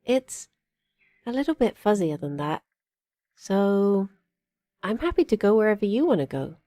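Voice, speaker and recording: A woman's voice: mid-range voice, sing-song, a little slow, dark tone, no room sound, studio quality. A woman playing a cheerful, chatty young witch in an audio drama, rambling a little hesitantly and eager to please. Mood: easygoing. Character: cheerful, chatty young witch, tall rabbit-eared druid companion. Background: music.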